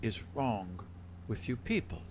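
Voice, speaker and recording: A man voicing a formal, solemn female bird-folk envoy who speaks in measured, polite but firm phrases. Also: fairly low pitch, very animated, a little mellow, a little hiss or hum.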